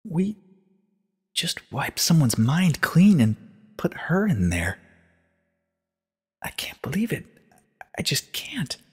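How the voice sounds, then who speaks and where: A man voicing a distressed young female pony student in a dramatic story reading, hushed, halting and pleading. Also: fairly high pitch, sing-song, slightly rough, long reverb tail, voice pushed up, exaggerated voice. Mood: shaken disbelief.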